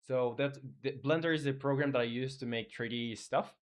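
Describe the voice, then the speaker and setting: Guy streaming his game dev, average pitch, casual and kinda hesitant, chatting with viewers. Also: moderate pace. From Chile. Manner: informal, hesitant, reads viewer messages aloud and replies. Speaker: game developer live streamer. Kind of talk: casual livestream chat.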